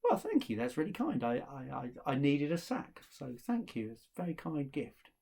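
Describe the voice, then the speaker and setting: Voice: mid-range voice, sing-song, normal speed, vocal fry, dark tone, breathy edge, heavily acted. A storyteller acting out a kindly, rambling youngest son. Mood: grateful, polite. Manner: polite, hesitant, rambling. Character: youngest son, kindly, polite, guileless young male traveller. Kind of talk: live oral folktale telling.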